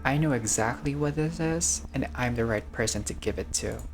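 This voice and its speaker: A man's voice: mid-range voice, moderate pace, over music, close to an everyday voice. Man playing an assured vampire go-between. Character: assured vampire player character bluffing as a trusted courier.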